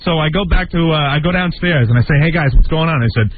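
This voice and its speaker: A man telling a casual personal story on radio, halting and repeating phrases. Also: fairly high pitch. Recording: tinny narrow-band radio, faint music behind.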